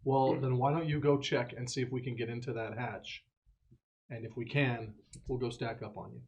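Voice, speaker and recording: A man's voice: mid-range voice, steady tone, moderate pace, a little mellow, breathy edge, lo-fi sound. A man playing a tabletop roleplaying game, bantering casually and bluntly in and out of character.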